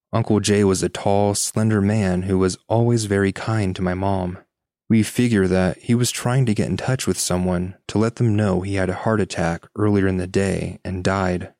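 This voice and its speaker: A man's voice: low-pitched, fairly even intonation, normal speed, warm tone, no echo, clean studio sound. A man co-hosting a podcast, reading listener stories and chatting casually. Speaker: podcast co-host.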